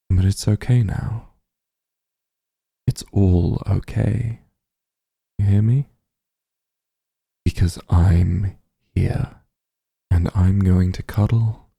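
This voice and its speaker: A man playing a doting demon lord in an ASMR roleplay, speaking soothingly and tenderly in a low, husky, gravelly voice. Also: no echo, studio quality, exaggerated voice. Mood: tender, reassuring. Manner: soothing, tender, gently coaxing. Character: doting demon lord, shapeshifted into soft strong form. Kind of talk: ASMR roleplay, intimate comforting monologue.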